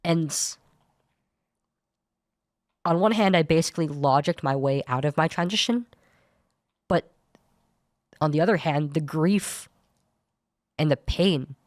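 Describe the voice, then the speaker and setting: A young woman with a low voice that is hard to place as male or female, giving an earnest personal account as a podcast guest, often restarting her sentences. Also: slow, pauses often, dry recording, clean studio sound. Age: young adult. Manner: earnest, searching, many restarts. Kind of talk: personal account in studio talk show.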